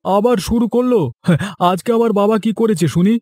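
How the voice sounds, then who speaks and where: A man's voice: high-pitched, brisk, a little mellow, dry recording, lightly acted. A man playing a mild, placating husband in a Bengali moral-story cartoon. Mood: puzzled, curious. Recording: dry, with faint music behind.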